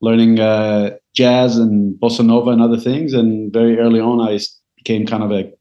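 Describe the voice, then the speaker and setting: Guest composer, male, lowish voice, reflective and hesitant. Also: level tone, normal speed, mellow, muffled recording. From Israel. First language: Hebrew. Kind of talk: interview answers.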